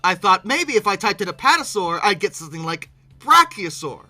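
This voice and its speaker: A very bright, forceful voice delivers off-the-cuff reaction commentary over music. The delivery is animated and incredulous, stumbling into repeated words with exasperation. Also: medium pitch. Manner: halting, repeating words when flustered. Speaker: video creator hosting a reaction commentary. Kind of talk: informal, unscripted reaction commentary.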